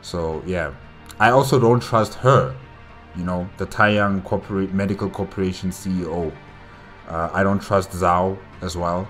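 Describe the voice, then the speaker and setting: A man with a very low voice hosts a reaction video at a slow pace. He recaps and comments casually, pausing as he thinks aloud, with music behind him. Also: a bit bright.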